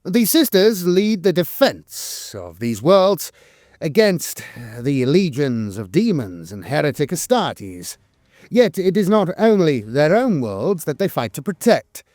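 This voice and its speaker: Animated, higher-pitched man narrating lore. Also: slow, no echo, clean studio sound. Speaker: channel narrator. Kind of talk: scripted lore narration read aloud.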